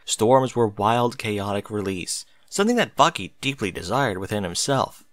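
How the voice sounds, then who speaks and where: A man narrates a scripted story expressively. His pitch moves around a lot from phrase to phrase, and the recording is dry with hardly any room in it. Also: lively intonation, moderate pace, fairly bright tone, dry recording.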